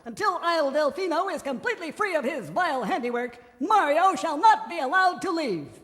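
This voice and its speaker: A stern, dismissive judge from a game hands down rulings with curt authority. The voice is extremely high and animated, ringing through a reverberant hall. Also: male voice, fairly quick. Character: stern, dismissive islander judge handing down sentence. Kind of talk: theatrical video game cutscene acting.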